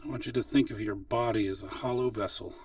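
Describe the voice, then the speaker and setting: A man leading a guided body scan meditation as an instructor, speaking gently and unhurriedly with long pauses. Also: medium pitch, steady tone, no room sound, recorded from a distance.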